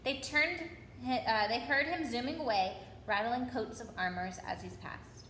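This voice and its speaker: A woman reading a children's novel aloud in an expressive storytelling manner. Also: fairly high pitch, a little slow. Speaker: story reader. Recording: roomy echo with faint background noise.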